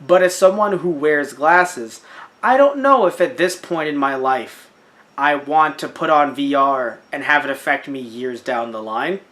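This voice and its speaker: A young man co-hosting a casual podcast, animated and jokey, slipping into silly voices. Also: on the high side, a little slow. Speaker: podcast co-host. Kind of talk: casual chat.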